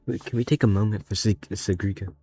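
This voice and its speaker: A man's voice: lowish voice, steady tone, fast talker, a little mellow, husky, no echo. A man giving casual live reaction commentary as a game streamer. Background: game music.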